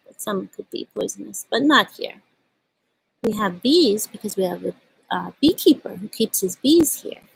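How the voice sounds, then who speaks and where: A woman hosts a children's storytime outdoors on a farm. Her voice sits on the high side and has a husky edge. She speaks gently and simply, as if to small children, in a read-aloud and chatty style. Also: poor audio.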